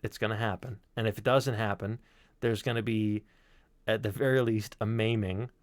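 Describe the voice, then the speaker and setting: A man's voice: on the low side, fairly even intonation, normal speed, no echo. A man telling an anecdote in a casual, wry and emphatic way, with some swearing. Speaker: storyteller on a podcast stream. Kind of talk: casual storytelling.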